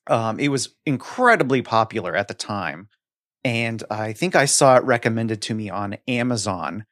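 A middle-aged man reminiscing casually about books in a measured, articulate way, as a podcast co-host. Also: lowish voice, expressive, a bit bright, no room sound, clean studio sound.

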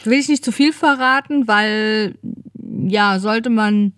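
A woman's voice: medium pitch, slow, fairly bright tone, no echo, clean studio sound. A woman chatting casually as a podcast co-host, rambling and correcting herself as she thinks aloud. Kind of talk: casual chat.

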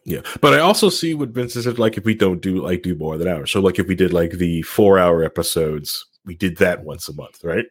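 A man's voice: low voice, animated, brisk, hardly any pauses, breathy edge. A man hosting a podcast in casual group banter, rambling along and poking fun at himself. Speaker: podcast host.

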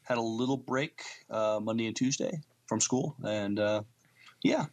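An American man co-hosting a podcast, chatting casually with a halting, hesitant delivery. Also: on the low side. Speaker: podcast co-host. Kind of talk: casual chat.

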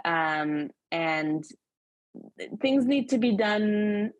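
A woman's voice: mid-range voice, animated, extremely slow, dry recording, muffled recording. Confident woman in a dry close recording. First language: English. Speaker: social media and PR expert guest. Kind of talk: podcast conversation.

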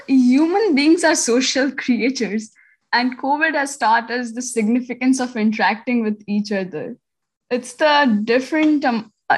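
A woman guest on a podcast giving earnest, reflective closing remarks, with some hesitant fillers. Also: a little high.

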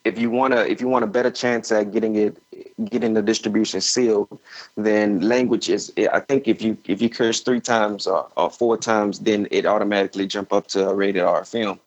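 Dull, lo-fi audio of a man with a fairly low voice. He is a filmmaker guest answering casually, giving advice in a halting way and often doubling back on his words. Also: fairly even intonation.

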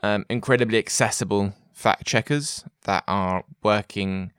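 A man's voice: fairly low pitch, unhurried, fairly bright tone, clear, resonant tone, no echo, clean studio sound. A man hosting an interview, chatting in a rambling way with many fillers and repeated words. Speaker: host. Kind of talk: casual interview chat.

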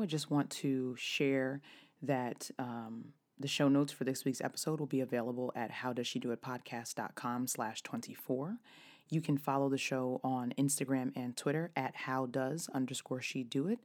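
A woman in her thirties hosting her own podcast, her voice raspy and hoarse, talking casually with frequent restarts. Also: very deep voice, steady tone, moderate pace, darkish tone. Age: 30s. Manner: chatty, with frequent restarts and fillers. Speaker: podcast host. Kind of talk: casual solo podcast talk.

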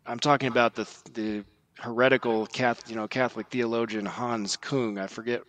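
A man giving formal, measured religious commentary on a podcast, quoting documents and stressing points as he lists them. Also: medium pitch, fairly even intonation, fairly bright tone.

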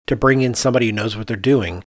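A man's voice: mid-range voice, fast, no echo, studio quality. A man who works as an architect, chatting casually as a podcast host in an emphatic way with rhetorical questions. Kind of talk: casual conversational discussion.

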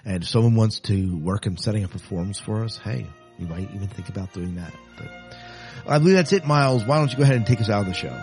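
Lower-pitched man hosting a podcast. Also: expressive, quick pace, a little mellow, faint music behind. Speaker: podcast host.

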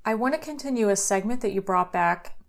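A woman's voice: medium pitch, animated, fairly quick, creaky. A woman co-hosting a podcast as a cosmetic scientist, chatting casually and making joking asides. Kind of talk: casual chat.

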